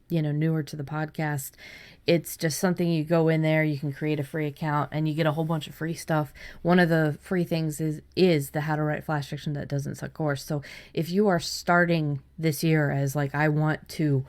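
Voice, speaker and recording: A woman's voice: low voice, steady tone, normal speed, a little mellow. A woman hosting a writing podcast, talking casually and chattily with frequent restarts and asides. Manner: chatty, many restarts and "you know". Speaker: podcast host.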